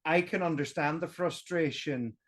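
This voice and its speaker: A young Irish man chatting on a history podcast, animated and emphatic, thinking aloud as he goes. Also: fairly high pitch, moderate pace. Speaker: guest history video creator. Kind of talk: casual roundtable podcast chat.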